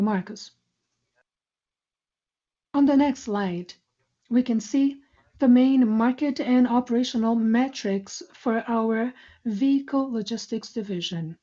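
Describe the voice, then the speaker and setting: A woman speaks in a somewhat high yet very mellow voice. She delivers a scripted business presentation, formal and measured. The sound is a little muffled, as on a conference call. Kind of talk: scripted corporate financial presentation.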